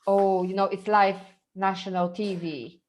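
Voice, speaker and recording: A woman from Poland, a podcast guest telling stories in an animated way and laughing easily. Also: mid-range voice, unhurried. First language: Polish. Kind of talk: casual storytelling chat.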